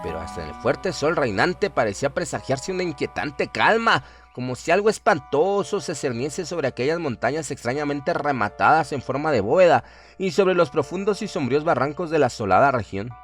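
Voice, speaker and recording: A man's voice: on the high side, big pitch swings, a little fast, faint music behind. A man narrating a scripted horror story in a solemn, dramatic storytelling manner.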